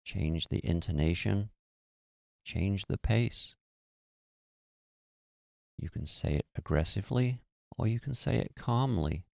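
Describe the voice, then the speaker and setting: A man guiding a meditation in a slow, soothing, hushed way. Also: sits low, expressive, creaky, dry recording, clean studio sound. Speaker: meditation guide. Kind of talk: guided meditation.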